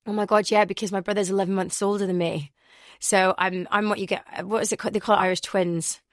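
A Scottish woman in her thirties, a radio DJ chatting about her life in a podcast interview, telling rambling stories with quick self-corrections. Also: medium pitch, level tone, no room sound, studio quality. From Glasgow, Scotland. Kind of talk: casual interview storytelling.

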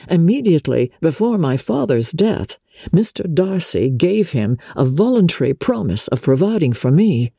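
Woman doing regency gentleman, mellow husky voice, measured and confiding, kinda mournful, audiobook drama. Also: a bass voice, no room sound, clean studio sound, full character voice. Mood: earnest, aggrieved. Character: smooth-mannered, aggrieved young gentleman of Regency novel.

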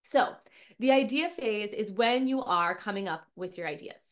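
A woman's voice: mid-range voice, expressive, normal speed. A woman hosting her own podcast, chatty and upbeat with many fillers and restarts, a little nasal from a cold. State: getting over a cold, a bit nasal. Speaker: podcast host and business coach for piano teachers. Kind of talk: casual solo podcast talk.

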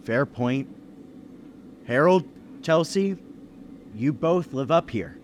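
A man's voice: fairly high pitch, expressive, slow, slight background noise. An actor playing a suspicious businessman who takes charge with folksy authority in a 1930s-style radio mystery. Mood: suspicious, commanding. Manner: commanding, folksy interrogator. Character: suspicious businessman narrator taking charge of a murder inquiry.

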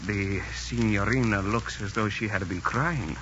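An actor playing a soft-hearted Italian pirate crewman in a 1940s radio drama. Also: lowish voice, a little slow, natural voice. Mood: gentle, coaxing. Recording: muffled, hissy 1940s radio recording.

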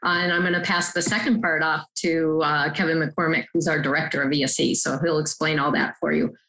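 A school district's mental health director answers a panel question in a measured way, with a few ums along the way. Her voice is low-pitched but bright and forward, in an echoey room. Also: fairly even intonation. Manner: measured, hesitant with fillers.

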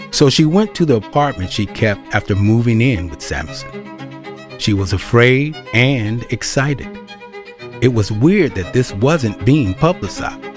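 Woman narrating audio drama, deep voice, pitch moves around a lot, music under it. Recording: music behind the voice.

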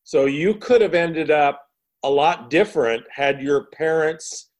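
Male interview host, slightly high voice, reflective and thorough. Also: a little slow. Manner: reflective, sums up the guest's points at length.

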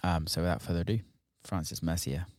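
Low-voiced podcast host, dry sound. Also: male voice, quick pace, darkish tone, dry recording. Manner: friendly, filler-heavy, says "man" and "yeah" a lot. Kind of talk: casual interview chat.

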